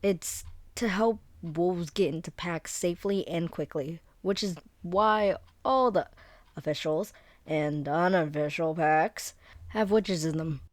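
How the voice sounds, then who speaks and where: Woman acting a brisk, flustered, friendly werewolf beta. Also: fairly low pitch, no echo. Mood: earnest, explaining. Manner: hesitant, rambling, informal explaining. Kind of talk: scripted one-sided roleplay audio drama, conversational.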